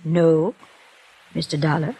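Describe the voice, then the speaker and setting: An actress playing a wealthy, scheming older woman who feigns sweet innocence and pleads in a genteel, breathy voice, in a 1950s radio drama. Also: low-pitched, steady tone, slow, dark tone, heavily acted, rough recording. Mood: pleading.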